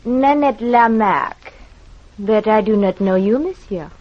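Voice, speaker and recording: Casting: a poised French temptress in radio noir, medium-pitched, unhurried, cool, dull old recording. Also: female voice. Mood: cool, wary. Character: poised, seductive French femme fatale. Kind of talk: 1940s radio drama acting. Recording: muffled vintage radio recording.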